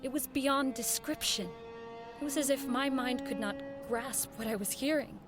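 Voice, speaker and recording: A woman's voice: high-pitched, normal speed, over music, natural voice. An actress playing an earnest, nervous woman who works in network radio, in radio drama dialogue and narration. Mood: haunted, awed.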